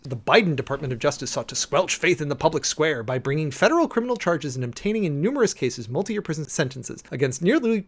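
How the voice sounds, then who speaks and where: An American man giving a dramatic reading with off-the-cuff commentary, in a sardonic, emphatic way with mock-exasperated asides, like a preacher-commentator. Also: medium pitch.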